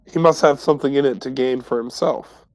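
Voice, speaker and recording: A wary young adventurer from a tabletop roleplay played by a man, protective and insistent. The voice is mid-pitched and plain-spoken, recorded close with no room sound. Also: brisk, darkish tone, no room sound, lightly acted. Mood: suspicious, insistent. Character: cautious, suspicious teenage adventurer, protective of friends.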